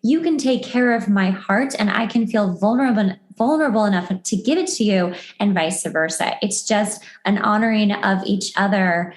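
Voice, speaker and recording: A woman's voice: medium pitch, normal speed, few pauses, roomy sound. A woman hosting a podcast in a casual conversational style, enthusiastic and emphatic. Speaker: podcast host.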